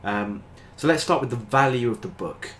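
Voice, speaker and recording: A man's voice: mid-range voice, animated, normal speed, a bit bright, slight background noise. An older British man with a stuffy, nasal head cold, casually talking through a game book review, rambling with lots of ums and uhs. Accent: British English. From Britain. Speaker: tabletop gaming channel host. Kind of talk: casual review talk to camera.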